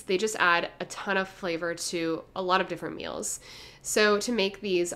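A young woman giving a gentle, measured voice-over as she explains her home cooking step by step. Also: fairly low pitch, clean tone. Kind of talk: calm instructional voice-over.

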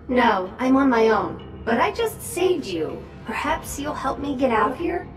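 A woman playing a chatty, cheeky robot drone sidekick in a video game, expressive and breezy in delivery. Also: sits high, measured pace. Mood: eager, hopeful. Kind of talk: video game character acting. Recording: echoey, distant, over loud music.

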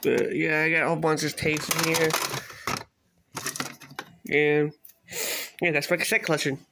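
A man chatting casually in a home video, halting and repeating himself as he shows off a collection. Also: fairly high pitch, level tone, vocal fry. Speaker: cassette collector showing a collection. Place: small bedroom, at a closet, indoors. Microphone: handheld phone camera, speaker behind it. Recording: noisy handheld home recording, clicks.

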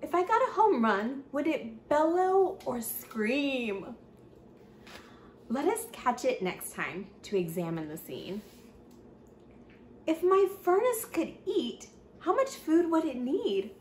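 A young adult woman hosting a children's read-aloud, reading picture-book text in an expressive sing-song way with drawn-out emphasis. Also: high-pitched. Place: small indoor room with bookshelf. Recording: roomy echo, faint background noise.

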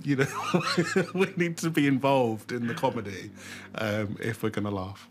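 Man, animated pitch, playful, teasing, dinner table chat, faint hiss. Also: normal speed. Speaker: dinner party guest.

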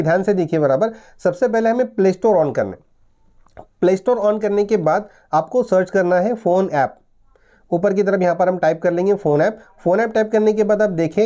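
A man's voice: high voice, dark tone. A man in his thirties giving an explanatory tech tutorial to viewers, going step by step and repeating himself as he explains.

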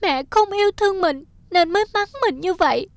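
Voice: very high voice, a little fast, background noise. A performer voicing a naughty, sulky little village boy in a cartoon, plaintive and exclaiming in a childlike way.

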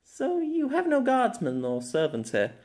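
A man's voice: wildly swooping pitch, measured pace, exaggerated voice. Man putting on a teasing young noblewoman. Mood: questioning. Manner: bright, teasing, high lilt.

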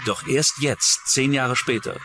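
A man's voice: mid-range voice, steady tone, unhurried, noisy background. A man narrating a true-crime documentary in a scripted style. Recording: music under the voice. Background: background music.

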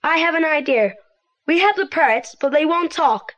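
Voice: high voice, expressive, normal speed, fairly bright tone. A young performer playing a confident teenage boy detective in a children's audio play, eager and articulate.

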